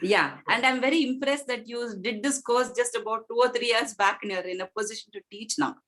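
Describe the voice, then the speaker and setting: A woman in her sixties from India, a student introducing herself on a video call in a measured, polite way. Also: fairly high pitch, a bit bright.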